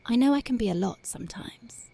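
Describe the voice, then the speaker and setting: A woman voicing a tough but devoted young punk girlfriend in an ASMR roleplay, talking softly and intimately close to the mic, often dropping to a whisper. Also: on the high side, animated, a little fast, creaky, dry recording. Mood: tender, dreamy. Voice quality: soft, intimate, partly whispered. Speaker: voice actress.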